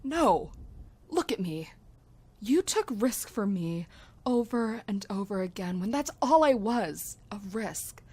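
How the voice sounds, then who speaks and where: A woman's voice: medium pitch, very animated, takes it slowly, darkish tone, husky, exaggerated voice. A woman playing a protective, devoted young survivor in an audio drama, speaking bluntly and tenderly with intensity. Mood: pleading, intense. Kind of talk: amateur audio drama acting.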